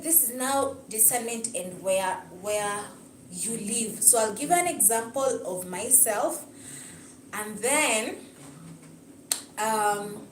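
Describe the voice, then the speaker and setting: A woman's voice: fairly high pitch, far from the mic, slight background noise. Chatty Kenyan woman in echoey room. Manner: chatty, answers chat comments, storytelling. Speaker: livestream host. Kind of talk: casual livestream talk with viewers.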